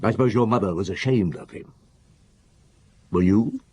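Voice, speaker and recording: An actor playing a weary, probing middle-aged police chief inspector in a radio drama, deliberate and authoritative, with a husky voice. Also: male voice, fairly low pitch, a little mellow. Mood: probing, scornful. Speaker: lead actor and narrator in a radio detective drama.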